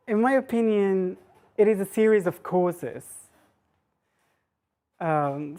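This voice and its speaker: Low-pitched woman lecturing informally. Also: darkish tone. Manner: hesitant, self-interrupting, thinking aloud with rhetorical questions. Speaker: lecturer giving a talk. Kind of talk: academic lecture, informal and conversational.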